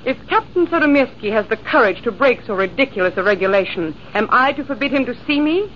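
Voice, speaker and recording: A woman's voice: on the high side, animated, fast, close to an everyday voice. An actress playing a proud, fiery young woman who answers with haughty indignation, in 1950s radio drama style. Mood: indignant. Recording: dull, hissy old radio broadcast.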